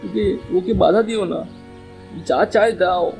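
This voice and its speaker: Man acting a slow-spoken, troubled village father. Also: high-pitched, gravelly, a little mellow, soft background music, exaggerated voice. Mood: shocked, fearful. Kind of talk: dramatic cartoon story acting.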